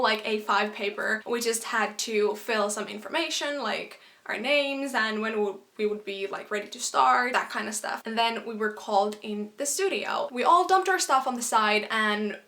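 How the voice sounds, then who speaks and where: A young woman from Finland, a native Finnish speaker, chatting casually to camera as a vlogger in an animated storytime style. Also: fairly high pitch. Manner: chatty and animated, quick asides and quoted exclamations.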